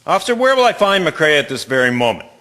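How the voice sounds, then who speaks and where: A man's voice: fairly high pitch, sing-song, quick pace, bright, forceful tone. A man playing a caring, hearty wartime army colonel in a radio drama, speaking in formal, declamatory phrases. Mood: pleased, purposeful.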